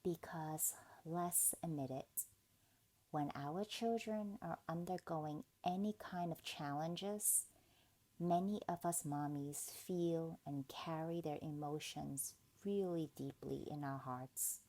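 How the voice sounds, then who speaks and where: A woman guides a meditation very slowly, with a lot of creak in her voice. Her pitch sits on the low side for a woman. She speaks gently and deliberately, leaving space between short phrases. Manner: soothing, gentle, deliberate, with pauses. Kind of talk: guided meditation, scripted narration.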